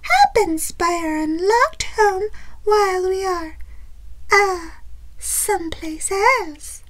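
Storybook mother dinosaur, played by a woman: scheming, mock-innocent, very high and wildly swooping. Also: expressive, takes it slowly, fairly bright tone, full character voice, rough recording. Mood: gleeful scheming.

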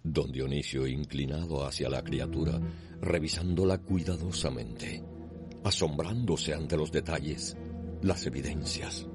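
A man's voice: a bass voice, unhurried. A man giving dramatic scripted narration for a radio soap opera. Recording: loud music behind the voice.

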